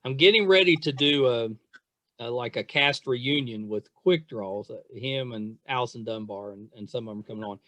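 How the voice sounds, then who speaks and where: A man's voice: medium pitch, normal speed, fairly bright tone, dry recording. A middle-aged man hosting a casual chat interview, gushing and repeating himself for emphasis. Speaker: podcast host interviewing an actress.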